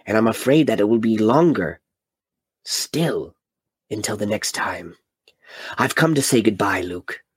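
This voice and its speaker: A man voicing a wise old male mentor, speaking low, quiet and solemn. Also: lively intonation, measured pace, long pauses, slightly rough, no echo, studio quality. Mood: grave.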